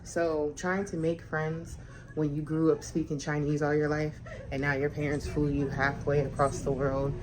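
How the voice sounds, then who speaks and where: Low-voiced blunt woman, noisy room. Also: moderate pace. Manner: blunt, animated, talks straight to her viewers. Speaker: vlogger. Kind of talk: candid talking-to-camera chat. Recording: room noise behind the voice.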